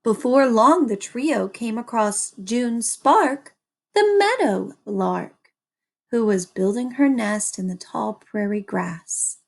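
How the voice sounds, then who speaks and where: Female storybook narrator: dramatic, very unhurried delivery, highly varied intonation, slightly husky. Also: animated. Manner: dramatic, deliberate phrasing. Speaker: storybook reader. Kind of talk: animated scripted children's narration.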